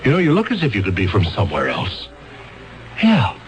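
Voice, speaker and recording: An actor playing an ambitious, fast-talking male defense lawyer in a 1970s radio drama, with a brash, wheedling, excitable manner. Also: on the low side, faint music behind, poor audio. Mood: scheming.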